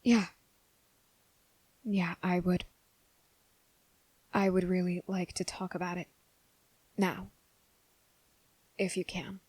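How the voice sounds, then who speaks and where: ASMR roleplay lead: earnest, nervous young man played by a woman, very even pitch, close dry sound, soft and halting. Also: no echo. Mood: nervous, hesitant. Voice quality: soft, breathy, slipping into whisper. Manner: halting, hesitant, often pausing mid-sentence. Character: nervous, earnest, gentle young male trainee confessing his feelings. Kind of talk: ASMR roleplay addressed to the listener.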